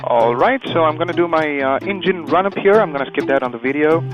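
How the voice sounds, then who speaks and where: A man's voice: a little high, lively intonation, quick pace, lo-fi sound, over music. A man working as a pilot and video host, explaining procedures step by step from a small plane cockpit and making radio calls. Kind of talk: instructional vlog commentary with radio calls from the cockpit.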